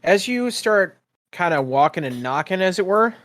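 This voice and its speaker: A man's voice: high-pitched, lively intonation, normal speed, a bit bright. A man running a tabletop game as game master, describing scenes in a conversational, improvised way. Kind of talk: casual improvised game narration.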